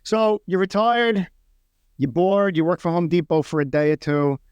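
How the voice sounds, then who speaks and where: A male podcast host talks in a laid-back, casual way, tossing in the odd curse word and plenty of "yeah." His voice sits a little higher than most men's. It is recorded close and dry. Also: moderate pace, smooth, no room sound. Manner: relaxed, curses casually, frequent "yeah" backchannel. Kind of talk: casual interview chat.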